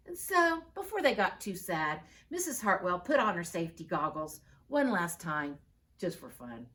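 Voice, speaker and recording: A woman teacher reading a picture book aloud with expressive storytelling. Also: on the low side, normal speed.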